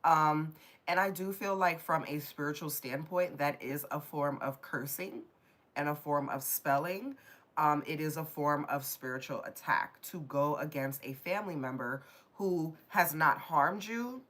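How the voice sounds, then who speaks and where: A low-voiced woman with a stuffy, nasal sound as she gets over a cold. She rambles casually and talks straight to her viewers during a live tarot stream. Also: unhurried, a bit bright. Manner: chatty, rambling, addresses viewers directly.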